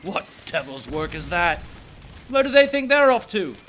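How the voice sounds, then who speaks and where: A man's voice: sits high, very animated, normal speed, a bit bright, a little hiss or hum, pitched above the performer's own voice. A man voicing a brash, mocking soldier calling out in incredulous exclamations for an audiobook. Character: brash, mocking soldier shouting to his comrades. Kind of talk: dramatized audiobook character voice.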